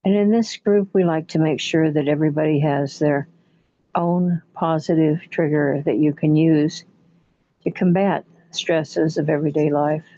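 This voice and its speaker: Female meditation guide, very slow and soothing, mellow tone, slightly muffled dry recording. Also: sits low, fairly even intonation, no room sound. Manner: soothing, unhurried, with long pauses between short phrases. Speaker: guided imagery facilitator. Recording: muffled, dull lo-fi audio.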